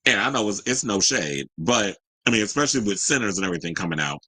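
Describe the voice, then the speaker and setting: A man's voice: on the low side, expressive, quick pace, pushed and bright, breathy edge, no room sound. A man hosting a talk show, giving casual, opinionated entertainment news commentary with slangy asides as he reads.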